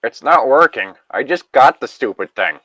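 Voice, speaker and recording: A man's voice: medium pitch, animated, normal speed, bright, forceful tone, slightly rough, no room sound, poor audio. A man reading a comic story casually, breaking off into jokey asides and banter. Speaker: co-reader of an internet story.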